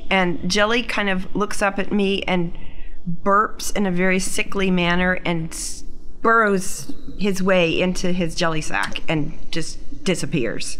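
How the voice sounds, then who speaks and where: A woman's voice: on the low side, fairly even intonation, a little slow, fairly bright tone, slight background noise. A woman speaking casually as a player in a tabletop roleplaying game.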